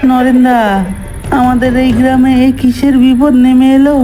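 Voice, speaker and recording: A man's voice: very high-pitched, monotone, moderate pace, low-quality recording. A man plays a worried villager in a cartoon. He sounds plaintive and anxious as he pleads, with light music in the background. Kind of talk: cartoon character acting.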